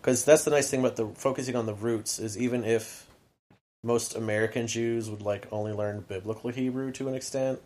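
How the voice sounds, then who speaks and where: A man's voice: medium pitch, fairly even intonation, brisk, darkish tone. A man hosting a podcast in casual chat, rambling with lots of fillers and laughing often. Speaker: podcast host.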